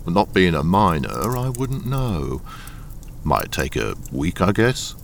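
A man acting a gruff, secretive captain in a radio thriller, with brusque, probing questions. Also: low-pitched, big pitch swings, slow, heavily acted. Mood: businesslike. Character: gruff, secretive old mine-boss captain, shady employer. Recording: noisy radio drama with rain sounds.

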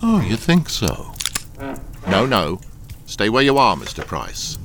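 A male actor as a menacing smuggling boss from a radio crime drama. His low voice swoops widely as he patronizes and threatens with smooth politeness. There is faint noise behind him. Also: huge pitch swings, slow pace, a bit bright, exaggerated voice. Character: suave menacing smuggling boss, coolly blackmailing a deserter.

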